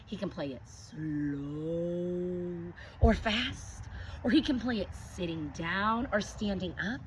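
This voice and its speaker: A woman teacher reading a picture book aloud to children, gently stopping to ask them questions. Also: on the low side, takes it slowly. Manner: gentle, asks children questions between pages. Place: outdoors with her dogs. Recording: dull lo-fi recording with clear background noise.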